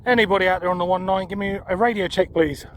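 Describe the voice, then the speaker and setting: A man's voice: sits high, steady tone, fast talker. A man giving casual hands-on vlog commentary outdoors, as an amateur radio presenter. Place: outdoors on a windy high spot. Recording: windy outdoor recording, loud wind noise.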